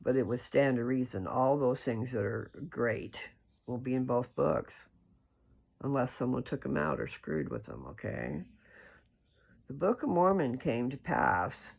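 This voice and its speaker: A person with a creaky, gravelly voice reads and explains scripture in an informal, folksy, rambling way, often checking in with the listener. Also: medium pitch, big pitch swings, a little slow, a little mellow. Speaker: host reading scripture aloud and explaining it. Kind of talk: scripture read aloud with casual, rambling commentary.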